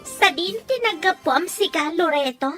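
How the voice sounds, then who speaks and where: A woman's voice: very high-pitched, expressive, measured pace, sharp tone, faint music behind, full character voice. A woman acting an emotional, plaintive wife and mother in an audio drama, with a Filipino accent. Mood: upset, pleading.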